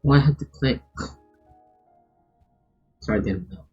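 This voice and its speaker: A man's voice: mid-range voice, unhurried, a little mellow, slightly rough. A man live-streaming a video game, reacting casually in short exclamations. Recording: dull, muffled audio with game music. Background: game music.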